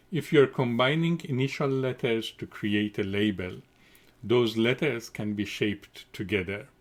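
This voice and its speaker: A middle-aged man, a Farsi speaker, giving a formal, measured scripted technical conference presentation. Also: mid-range voice. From Iran. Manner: measured, deliberate, formal delivery.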